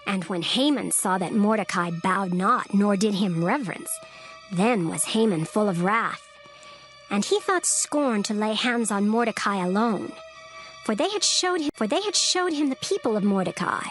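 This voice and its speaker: A woman narrator reading dramatized scripture in a measured, formal storytelling manner. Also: medium pitch, animated, fairly bright tone, faint music behind, rough recording.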